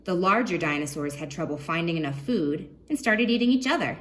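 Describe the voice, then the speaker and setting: Expressive woman reading aloud to children. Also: fairly low pitch, brisk. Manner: expressive, engaging listeners with questions. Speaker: children's librarian. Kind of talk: children's read-aloud with asides and questions to young listeners.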